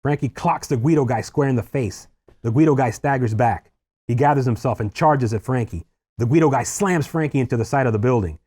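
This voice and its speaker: A man's voice: mid-range voice, brisk, a little mellow. A man reading stage directions aloud as narrator at a screenplay table read.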